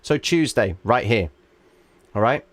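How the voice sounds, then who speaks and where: A man's voice: mid-range voice, expressive, unhurried, dry recording. A man hosting a live trading stream, walking viewers through a chart in an emphatic way and often checking in with "all right?", while losing his voice. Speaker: trading livestream host.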